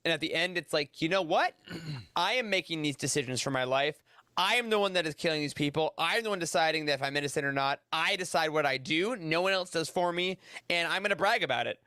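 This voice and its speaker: A man's voice: a little high, bright, forceful tone, no echo, clean studio sound. A man chatting casually on a podcast panel, enthusiastic and emphatic. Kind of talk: casual chat.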